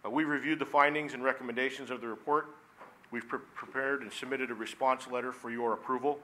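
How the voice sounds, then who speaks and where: A man's voice: mid-range voice, fairly even intonation, quick pace, bright, forceful tone, a little hiss or hum, poor audio. A police chief in his forties giving a formal report to a city council, reading from notes with frequent "uh"s, at a desk microphone in a council chamber. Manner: formal, reads from notes with many "uh"s.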